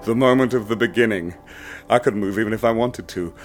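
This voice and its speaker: A man narrating a first-person horror radio play, hushed and intense. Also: lowish voice, a little fast, soft background music. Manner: hushed and intense, rising to ecstatic. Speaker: narrator and protagonist.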